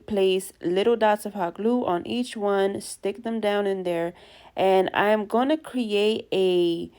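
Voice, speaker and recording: A young woman with a stuffy nose from allergies, chatting warmly through a DIY craft tutorial and gushing to her viewers. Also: lowish voice. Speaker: craft vlogger.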